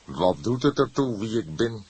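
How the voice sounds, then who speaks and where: An insolent young man of the streets in a crime audiobook, put on by a male reader. He sounds bored and offhand, dragging his words in a slightly husky, evasive drawl. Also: fairly low pitch, sing-song, normal speed, darkish tone, no echo, voice pushed up.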